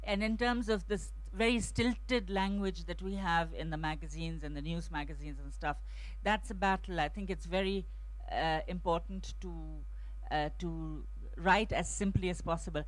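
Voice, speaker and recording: A woman's voice: fairly low pitch, moderate pace, rough recording. A middle-aged woman on an outdoor festival stage, speaking into a handheld mic, talking thoughtfully with many restarts and fillers. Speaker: translator on a festival panel. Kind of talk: panel discussion answers.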